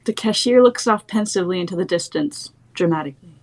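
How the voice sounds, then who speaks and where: A young adult woman with a voice a little lower than most women's. She narrates a screenplay, reading out the action and scene headings as scripted stage directions. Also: lively intonation, fast talker, darkish tone.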